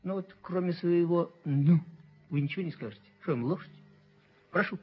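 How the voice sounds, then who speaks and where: Slightly high, sly speculator. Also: male voice, normal speed, breathy edge, faint music behind. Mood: prodding. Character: shrewd, wheedling former rent collector turned prosperous speculator. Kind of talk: Soviet radio play acting. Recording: dull 1970s radio drama recording.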